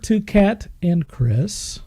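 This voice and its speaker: A woman's voice: mid-range voice, expressive, extremely slow, mellow, a little hiss or hum. A woman hosting a podcast, chatting casually and putting questions to guests. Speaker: podcast host. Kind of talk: casual chat, interviewing.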